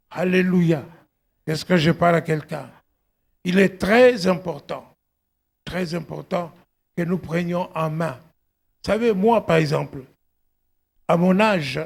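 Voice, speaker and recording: A middle-aged male pastor delivers an exhortative sermon. His voice is fairly high, husky and gravelly. He speaks slowly and emphatically, punctuating his points with exclamations and questions to the congregation. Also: a little mellow. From outside Belgium, arrived at eighteen.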